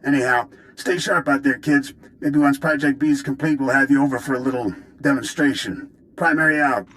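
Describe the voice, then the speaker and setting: An actor playing a chummy, breezy military weapons technician in a video game, speaking casually in technical jargon. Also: male voice, mid-range voice, brisk, fairly bright tone, natural voice. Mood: breezy, businesslike. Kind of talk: video game cutscene acting.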